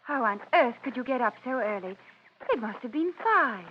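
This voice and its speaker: A woman's voice: a little high, lively intonation, normal speed, close to an everyday voice. An actress playing a breezy, teasing young woman in an old-time radio drama, airy and a little condescending. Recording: dull, noisy vintage radio recording.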